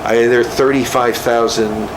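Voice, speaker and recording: A man speaks casually in an interview, relaxed and a little halting. A steady hum of air conditioning and ambient noise sits clearly behind his voice. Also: medium pitch. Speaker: theatre producer interviewee.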